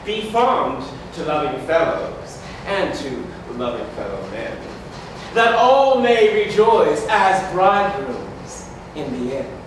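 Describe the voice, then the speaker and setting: A man playing a grand, festive epilogue speaker in a wedding masque, declaiming flowery, measured verse on stage. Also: high-pitched, very animated, distant mic, exaggerated voice. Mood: grandly festive. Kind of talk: theatrical verse staged reading. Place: community hall stage. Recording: echoey hall from afar, clear noise.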